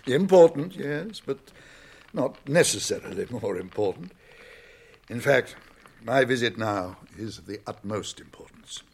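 A British actor playing a wise, kindly old wizard in a radio drama, speaking gravely and deliberately with thoughtful hums. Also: male voice, mid-range voice, lively intonation, exaggerated voice. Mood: grave, urgent. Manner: deliberate, pausing, murmuring hums and chuckles.

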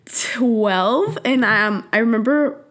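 A woman's voice: a little high, slow, a bit bright. Chatty young woman with Spanish roots. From Mexico. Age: young adult. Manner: chatty, laughing, many fillers. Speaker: podcast guest. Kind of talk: casual chat.

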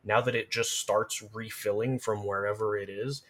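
A man's voice: fairly low pitch, fairly even intonation, moderate pace, a bit bright. A man chatting casually as a guest, explaining video game play in detailed, analytical fashion. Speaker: podcast guest, gamer.

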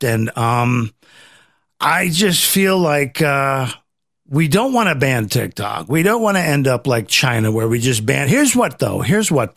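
A man hosting a casual political commentary chat, rambling and halting as he often repeats his phrases. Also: medium pitch, a bit bright, no room sound, clean studio sound. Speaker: talk show host.

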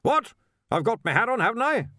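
Pompous, bellowing old wizard, pitched high. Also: male voice, sing-song, fairly quick, pushed and bright, no echo, clean studio sound, heavily acted. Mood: indignant, imperious. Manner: booming, imperious, indignant.

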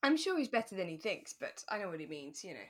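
A woman's voice: mid-range voice, very animated, fast talker. A woman co-hosting a podcast, chatting casually and playfully about a radio drama. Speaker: podcast co-host.

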